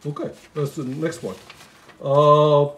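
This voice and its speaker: A man's voice: on the high side, steady tone, slow pace, a little hiss or hum. A man reading a poem aloud with casual joking asides, in a rambling, comically baffled manner. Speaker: host reading a Christmas poem. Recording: echoey room.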